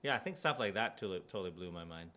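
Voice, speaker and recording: A man with a very low voice and a bright, forceful edge. He tells stories about the old neighborhood in a relaxed way, and his voice sinks quieter as he muses. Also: rapid-fire. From Canada. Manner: relaxed storyteller, trails off into quiet musing. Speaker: guest, community arts organizer. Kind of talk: casual interview chat.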